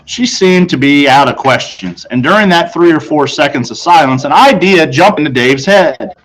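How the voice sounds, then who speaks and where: A man's voice: a little high, expressive, moderate pace, poor audio. A man reading aloud to children as a storyteller, in the manner of a teacher narrating a book. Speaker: audiobook reader, teacher.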